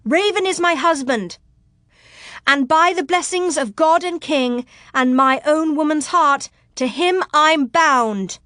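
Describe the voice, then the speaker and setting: A woman's voice: high-pitched, takes it slowly, pushed and bright, no echo, studio quality, pitched above the performer's own voice, heavily acted. A woman voicing a proud, passionate medieval noblewoman in an audiobook, declaiming in impassioned, ringing tones. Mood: ringing defiance.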